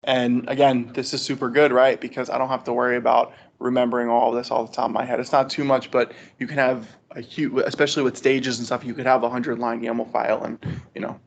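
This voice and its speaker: A man's voice: mid-range voice, flat delivery, fast, vocal fry. A man presenting an informal technical walkthrough to a study group, conversational and often checking in with "right?". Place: group meetup with video conference call. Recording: dull, lo-fi audio with background noise.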